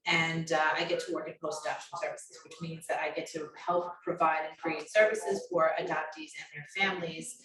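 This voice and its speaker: Hesitant low-voiced woman before an audience. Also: little pitch movement, fairly quick, few pauses, crowd noise. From born in Colombia, raised on Long Island. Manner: warm, hesitant, with many fillers. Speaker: workshop presenter. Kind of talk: personal introduction at a conference panel. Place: conference room. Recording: echoey room, distant mic, audience around.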